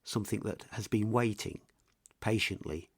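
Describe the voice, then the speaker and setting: A man presenting a scripted mystery documentary in a measured, ominous, suspenseful way, with deliberate pauses. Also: lowish voice, creaky, husky, no echo, studio quality. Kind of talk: scripted dramatic documentary narration. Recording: music behind the voice. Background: music.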